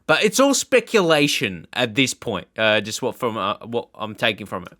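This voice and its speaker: Bright-voiced man rambling through casual commentary. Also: fairly low pitch, big pitch swings, moderate pace, dry recording, studio quality. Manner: hesitant, rambling, thinking aloud. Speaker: video game YouTuber. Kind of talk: casual trailer reaction commentary.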